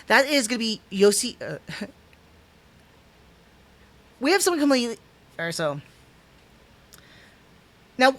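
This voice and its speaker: Rambling man reviewing anime on stream. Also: sits high. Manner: rambling, restarts, stumbles over names, chuckles; animated, gestures with hands while talking. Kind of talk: casual unscripted review. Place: small home room indoors.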